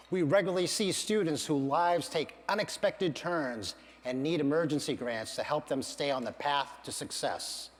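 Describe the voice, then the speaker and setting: A middle-aged man, a university financial aid administrator, giving an earnest, measured prepared speech at a campaign event. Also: medium pitch, big-room sound. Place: large event hall.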